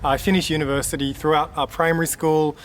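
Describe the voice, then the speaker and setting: A man in his late twenties from Australia, talking casually to camera in a relaxed, rambling way with many fillers and restarts. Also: on the high side, fast, a little hiss or hum. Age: late 20s. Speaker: vlogger.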